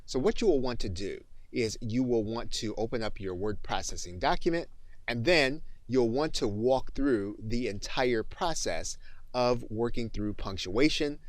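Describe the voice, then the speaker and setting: A man narrating an instructional software tutorial with methodical, deliberate phrasing. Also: mid-range voice, expressive, no room sound. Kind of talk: tutorial narration with spoken dictation demonstration.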